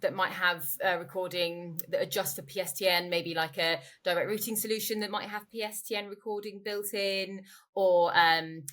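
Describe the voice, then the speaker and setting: A woman speaks as an expert guest in an interview. Her voice sits a little lower than usual. She explains in an informal, conversational manner, often restating her point. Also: steady tone, normal speed, fairly bright tone. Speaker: interviewee, company growth director.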